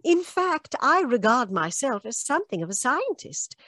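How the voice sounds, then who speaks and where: A woman playing a clever, earnest Victorian gentlewoman with a formal, emphatic manner in an old-time radio mystery. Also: sits high, big pitch swings, moderate pace, no echo, clean studio sound, heavily acted. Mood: earnest, insistent.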